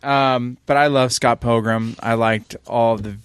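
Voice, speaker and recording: A man's voice: medium pitch, fairly even intonation, a little slow, clear, resonant tone, dry recording. A man chatting enthusiastically and a bit sheepishly on a casual podcast. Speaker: podcast co-host. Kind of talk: casual chat.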